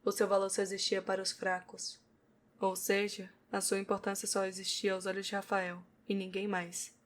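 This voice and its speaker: A woman's voice: medium pitch, completely flat delivery, moderate pace. A woman with a Brazilian accent reading scripted narration for an audio novel.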